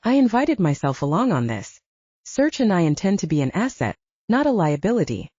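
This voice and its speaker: Lively, plucky young woman, as read by a narrator. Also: fairly low pitch, fairly quick, slightly rough, dry recording, highs cut off. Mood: brisk, stubborn. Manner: wry, persistent. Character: plucky, argumentative young woman hiker with wry wit.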